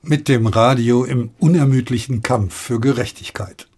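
A man's voice: medium pitch, normal speed, darkish tone, breathy edge. A man hosting a live radio programme, moderating and addressing his guests. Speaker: radio host.

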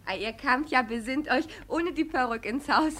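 Casting: saucy housemaid in classic radio play, very bright high voice, answers back to her master. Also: normal speed. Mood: pert, amused. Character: cheeky housemaid, pert and unafraid of her master. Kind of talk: classic German stage comedy as radio play.

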